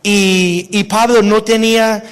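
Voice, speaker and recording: A man preaching a casual, halting sermon, often restarting words and dropping slang into his talk. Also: high-pitched, flat delivery, smooth, in a large hall. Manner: casual preaching mixing Spanish with English slang. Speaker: pastor. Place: church sanctuary.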